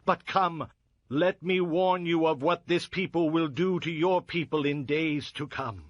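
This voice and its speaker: An actor playing a solemn, resolute prophet who declaims oracles in a dramatized audio scripture. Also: male voice, fairly high pitch, dry recording, natural voice. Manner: declamatory, measured poetic delivery. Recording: dull lo-fi audio with music. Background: music.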